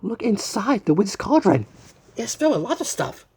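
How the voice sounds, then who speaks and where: A woman's voice: medium pitch, very animated, moderate pace, warm tone, breathy edge. A woman reading a picture book aloud to children in a dramatic, exclamatory way. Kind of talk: expressive children's read-aloud.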